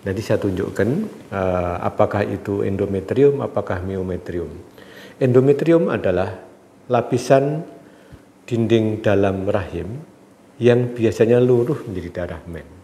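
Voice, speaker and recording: A man speaking as a doctor who explains health questions from viewers in a calm, measured way, his voice congested from a cold and slightly nasal. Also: lowish voice. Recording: echoey room with faint background noise.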